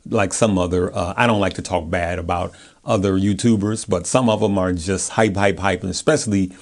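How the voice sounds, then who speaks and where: A man's voice: low voice, fairly even intonation, a little fast. An American man talking casually and informally to his viewers as a stock video host, in a chatty, colloquial way. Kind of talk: casual chat, giving stock commentary from notes.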